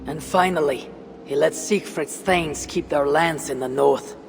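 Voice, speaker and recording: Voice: mid-range voice, a little slow, creaky, slight background noise. An actor playing a composed, blunt Viking warrior in a video game, formal and direct in speech. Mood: firm. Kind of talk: dramatic video game acting. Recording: reverberant space, faint ambience.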